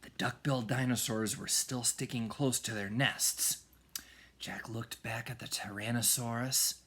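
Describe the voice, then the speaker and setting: A man reading a children's storybook aloud in a dramatic manner, often hushed for suspense. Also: medium pitch, steady tone, a little slow, slightly rough. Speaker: music teacher reading aloud.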